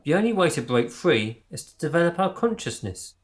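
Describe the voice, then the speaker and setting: A man's voice: on the high side, very animated, a little fast. A man acting in a radio drama as a group leader turned earnest, preachy conspiracy zealot. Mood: fervent.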